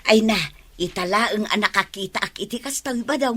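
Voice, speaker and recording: A woman's voice: medium pitch, normal speed, sharp tone, breathy edge. A woman with an Ilocano Filipino accent playing a chatty, nosy villager in a comedy radio drama.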